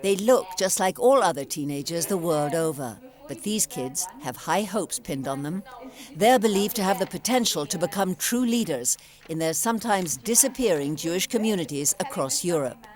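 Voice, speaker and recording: A woman's voice: on the low side, expressive, moderate pace, a little mellow. Woman reporter narrating a news feature.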